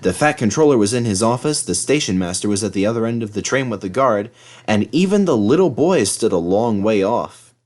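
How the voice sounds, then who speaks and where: A man's voice: fairly low pitch, expressive, brisk, a little mellow. A man reading a scripted children's story aloud as narrator. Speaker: storybook reader.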